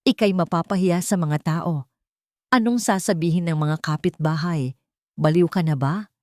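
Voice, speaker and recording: A woman voicing a stern, indignant old mother-in-law who scolds with sharp questions in a dramatic story. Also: on the low side, normal speed, no room sound, studio quality, natural voice. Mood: angry scolding.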